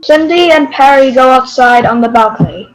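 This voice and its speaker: A woman's voice: sits high, moderate pace, poor audio. A woman narrating a scripted story for readers theater.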